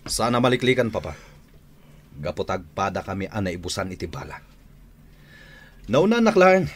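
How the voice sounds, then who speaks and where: A man's voice: lowish voice, sing-song, normal speed. A man acting a hot-tempered, defensive gangster son in a radio drama, with an Ilocano accent. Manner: indignant, explosive.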